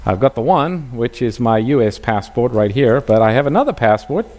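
A man's voice: medium pitch, animated, normal speed, darkish tone. A middle-aged man from South Africa, a pastor preaching a sermon and telling a personal story, asking the audience questions.

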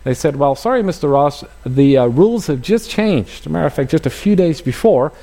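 A man's voice: fairly high pitch, animated, fairly quick, dark tone. A middle-aged man from South Africa, a pastor preaching a sermon and telling a personal story, asking the audience questions.

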